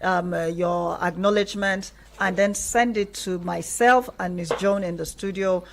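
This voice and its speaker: A woman's voice: fairly low pitch, fairly even intonation, a little slow, gravelly, a little hiss or hum. A woman with a Nigerian accent hosting a live radio programme from a studio, talking to guests and listeners. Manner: affirming interjections while guests talk. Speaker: radio host.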